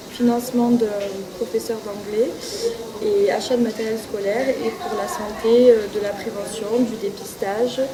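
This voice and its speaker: Young woman student, slightly high voice, hesitant, lots of fillers, crowd chatter behind. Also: low-quality recording. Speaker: student association president. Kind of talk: casual presentation to camera.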